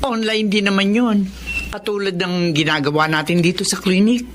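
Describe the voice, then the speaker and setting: A woman's voice: medium pitch, fairly even intonation, normal speed, a little hiss or hum, lightly acted. An actress playing a kind-hearted, principled middle-aged mother in a Filipino radio drama, earnest and persuasive. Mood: pleading, exasperated.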